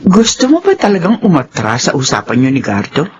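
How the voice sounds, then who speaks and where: A woman's voice: low-pitched, huge pitch swings, a little fast, a little mellow, dull audio. An actress playing a firm, caring older mother in a radio drama. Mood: sympathetic. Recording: faintly noisy radio drama track.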